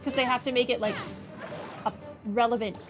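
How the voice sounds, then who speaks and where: A woman's voice: fairly high pitch, fairly even intonation, brisk. An American woman giving casual commentary while playing a game, chatty and full of wry asides. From United States. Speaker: gamer narrating a playthrough. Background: game music.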